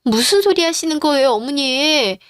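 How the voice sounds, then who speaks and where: A woman's voice: sits high, lively intonation, normal speed, no echo, studio quality, pitched above the performer's own voice. A woman playing a devoted, patient middle-aged daughter-in-law and mother in a radio-drama style story. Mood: distressed.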